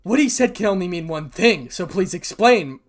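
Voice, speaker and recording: Man playing a worried teenage buddy, pitched up. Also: very animated, normal speed. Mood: insistent. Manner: casual, urgent, pleading. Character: worried, loyal teenage boys, the hero's school friends. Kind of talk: amateur story reading, acted dialogue.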